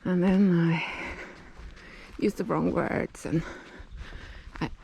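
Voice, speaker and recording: Norwegian-accented woman w slight lisp, slow mellow chat on a forest hike, soft whispery bits. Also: fairly low pitch, steady tone, creaky, slight background noise. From Norway. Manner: chatty, often drops to a soft whisper. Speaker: nature vlogger. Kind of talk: casual talk while hiking. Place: outdoors in a forest on a steep hill.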